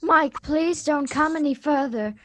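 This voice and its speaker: A woman's voice: high-pitched, measured pace, dry recording. A woman playing a polite, earnest teenage granddaughter from the future in a radio drama reading. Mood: surprised, anxious.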